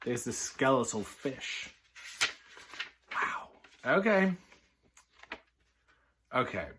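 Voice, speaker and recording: A man reading a children's picture book aloud, breaking off for sardonic, deadpan comic asides. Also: medium pitch, very slow, background noise.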